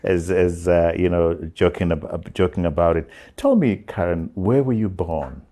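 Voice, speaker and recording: A man hosting an interview, asking questions slowly, repeating words and murmuring along while his guest talks. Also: low-pitched, mellow. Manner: slow, repeats words, many listening murmurs. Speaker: interview host. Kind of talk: interview conversation.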